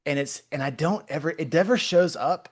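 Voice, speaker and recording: A man in his forties from Texas with a Texan accent, a guest artist chatting casually in an interview, rambling and restarting his sentences often. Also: fairly high pitch. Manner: rambling, halting, many restarts.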